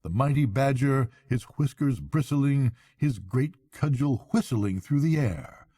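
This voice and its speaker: An older man reading a bedtime story as a grandfather would, in expressive storybook narration. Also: mid-range voice, a little slow, slightly rough, dry recording, clean studio sound.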